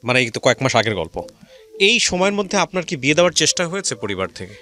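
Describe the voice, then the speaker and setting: A man's voice: a little high, very animated, rapid-fire, sharp tone. A man with a Bangladeshi accent hosting a radio interview, asking direct, probing questions in a conversational way. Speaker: radio show host, interviewer. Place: radio studio.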